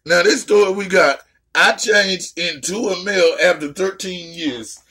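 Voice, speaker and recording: A young adult woman hosting a casual reaction chat, talking loud and brash, swearing a lot and trash-talking playfully. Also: on the low side, unhurried. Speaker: host.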